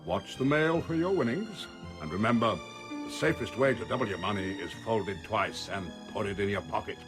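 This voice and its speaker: An actor playing a self-assured, calculating wealthy villain in a radio drama, speaking formally and with measured deliberation. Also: male voice, on the low side, lively intonation, music in the background, close to an everyday voice. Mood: coolly menacing.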